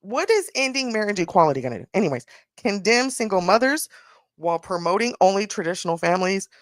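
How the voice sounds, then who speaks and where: A woman's voice: medium pitch, expressive, fast, no echo, studio quality. A woman hosting a casual commentary podcast, talking in a sassy, blunt way, stretching out her rhetorical questions. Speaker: podcast host.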